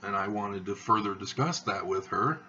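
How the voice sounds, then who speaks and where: A man hosting his own video channel, talking in a slow, halting monotone with many fillers as he rambles. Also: fairly low pitch, recorded from a distance, highs cut off, slight background noise. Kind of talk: rambling monologue to camera.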